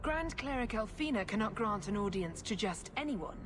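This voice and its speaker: A cold and righteous cleric from a video game , played by a woman, who speaks with deliberate, formal condescension. Her mid-pitched voice rises and falls widely. Ambient noise is clearly heard behind her. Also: expressive, natural voice. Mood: cold, condescending. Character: haughty, scheming female cleric, cold and righteous. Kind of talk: video game cutscene acting.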